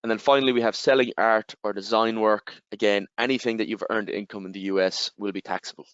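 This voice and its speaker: A man with an Irish accent, giving a webinar presentation and explaining step by step, with steady fillers and repeated "again". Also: lowish voice, fast talker, clean tone, no echo. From Ireland. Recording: slightly dull, dry webinar audio.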